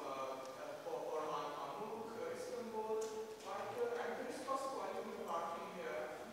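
Hesitant man far off in an echoey hall. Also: fairly high pitch. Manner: hesitant, polite, many "uh"s. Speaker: audience member asking a question. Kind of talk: audience comment at a panel. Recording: distant voice in an echoey hall with noise.

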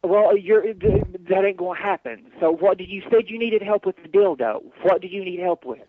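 A man's voice: sits high, normal speed, noisy background. A man arguing on a phone call, hostile, irritated and swearing heavily. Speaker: adult store manager answering the phone. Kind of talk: heated phone argument. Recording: dull lo-fi phone line.

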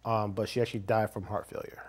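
A man's voice: on the low side, flat delivery, quick pace. Earnest middle-aged male guest. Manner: earnest, heartfelt. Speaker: interview guest. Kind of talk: casual interview chat. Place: small TV studio with curtain backdrop.

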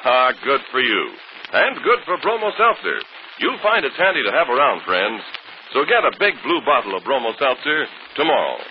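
A male 1940s radio announcer tells a folksy sales story. His intonation is very lively, and the old broadcast is muffled with faint noise. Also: medium pitch, moderate pace. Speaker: commercial announcer.

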